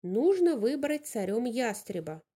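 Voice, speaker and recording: A woman's voice: a little high, sing-song, a little slow, mellow, husky, full character voice. A woman voicing a shrewd female bird in a fairy tale, making an assertive case to the flock. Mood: urging. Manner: assertive, reasoning speech to a gathering. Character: shrewd female bird urging fellow birds on.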